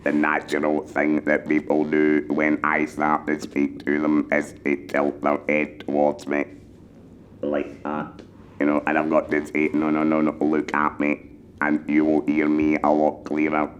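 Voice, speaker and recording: A man speaking through an electrolarynx with a buzzing, mechanical monotone, carefully enunciating as he explains and gives advice in measured phrases. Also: very deep voice, muffled recording, a little hiss or hum. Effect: mechanical electrolarynx vibration. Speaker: patient mentor for electrolarynx users. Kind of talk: explanatory talk giving advice.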